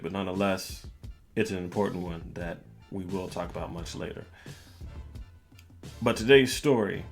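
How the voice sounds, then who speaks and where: Low-voiced man hosting a podcast over music. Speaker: podcast host. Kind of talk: casual storytelling podcast monologue. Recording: music bed under the voice. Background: background music.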